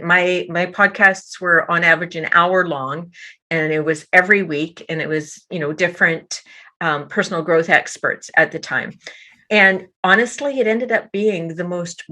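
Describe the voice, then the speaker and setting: Bright, enthusiastic woman podcast host. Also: lowish voice. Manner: enthusiastic, gushing. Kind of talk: interview host, casual chat.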